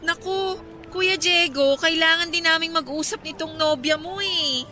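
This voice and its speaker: An anxious Filipino kid sister in a radio drama. Her high voice is bright and sharp, lively and pleading. Light music sits underneath. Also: measured pace, full character voice. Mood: urgent, pleading. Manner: urgent, familiar.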